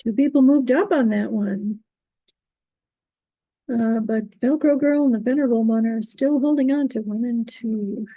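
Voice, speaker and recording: A woman moderating an online trivia quiz, reading out questions and standings, who is losing her voice and keeps clearing her throat. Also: on the high side, mellow, no echo, rough recording. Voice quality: gravelly, husky. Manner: upbeat, reading standings, halting on invented words.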